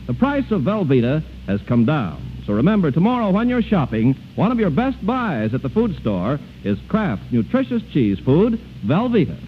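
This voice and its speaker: Tinny vintage American radio pitchman. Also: sing-song, normal speed, hardly any pauses. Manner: emphatic salesman delivery. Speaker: radio announcer. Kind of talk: 1940s radio commercial announcing. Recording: tinny, noisy 1940s radio broadcast.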